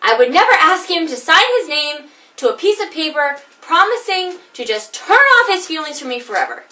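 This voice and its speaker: A woman's voice: high voice, big pitch swings, moderate pace, sharp tone, reverberant, recorded from a distance, heavily acted. A woman performing a comedic monologue as a jilted wife, wry and theatrical, caught between laughing and crying. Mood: wistful.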